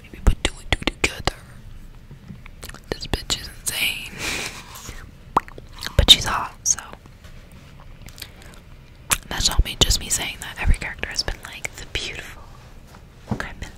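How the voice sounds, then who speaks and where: A woman reading a story aloud in a slow, hushed, breathy whisper for ASMR. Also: low-quality recording. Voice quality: breathy, fully unvoiced whisper.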